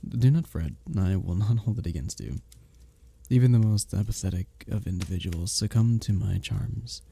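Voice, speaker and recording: Man playing a dark-voiced, flirty medieval innkeeper. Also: lowish voice, normal speed, a little hiss or hum. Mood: flirty, amused. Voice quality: dark, husky, gravelly. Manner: formal old-fashioned diction, teasing, gently courteous. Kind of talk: romantic ASMR roleplay, scripted character acting.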